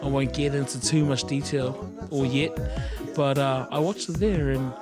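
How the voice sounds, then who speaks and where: A man with a croaky voice after a night out, co-hosting a casual sports podcast with loud, laughing banter. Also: medium pitch, fairly even intonation, moderate pace, music in the background. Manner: rowdy, laughs often, shouts chants. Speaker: co-host.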